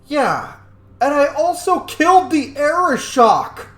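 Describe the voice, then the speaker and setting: Gaming host: casual, opinionated, sweary commentary, very animated intonation, roomy echo. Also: high voice, slow pace. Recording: echoey room, faint game music.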